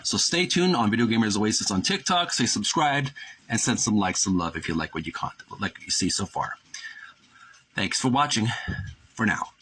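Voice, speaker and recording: A man's voice: on the low side, animated, normal speed, fairly bright tone, slight background noise, rough recording. A man hosting a channel, reading passages from a book aloud and adding his own remarks, sometimes stumbling and correcting himself as he goes.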